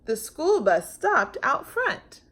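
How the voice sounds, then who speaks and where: A woman narrates a picture book for young children in a fairly high voice that swoops up and down. She takes her time, stretching words and acting out the little sound effects as a storytime reader. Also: very animated, slow pace, a bit bright. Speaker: storytime host reading aloud.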